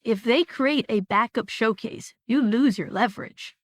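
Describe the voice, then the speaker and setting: A woman's voice: on the high side, measured pace, no echo, close to an everyday voice. A woman playing a skeptical, level-headed young streamer in a scripted audio drama, dry and blunt. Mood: exasperated, warning.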